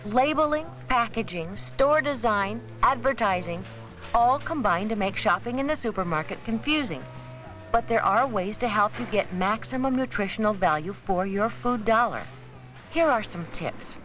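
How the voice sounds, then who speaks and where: A woman giving measured, scripted narration for an educational film about supermarket shopping. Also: medium pitch, expressive, fairly bright tone. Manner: measured, instructive. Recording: dull 1970s 16mm film soundtrack with music.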